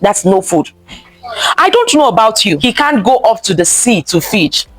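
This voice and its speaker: A woman's voice: medium pitch, big pitch swings, normal speed, fairly bright tone, no room sound, low-quality recording. A woman reading a picture book aloud to children, expressively, repeating phrases and stopping to ask them questions. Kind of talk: interactive children's story time read-aloud.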